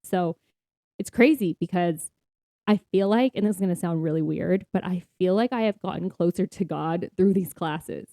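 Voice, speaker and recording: Upbeat, mellow-voiced woman chatting on a podcast. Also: fairly low pitch, normal speed, no echo, studio quality. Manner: upbeat, laughs often while talking. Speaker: podcast co-host. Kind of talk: casual podcast chat.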